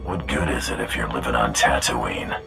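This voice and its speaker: A man playing a tough bounty hunter in a video game, speaking in terse, deadpan, coolly threatening lines. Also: a bass voice, normal speed, creaky, soft background music, full character voice. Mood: cool threat.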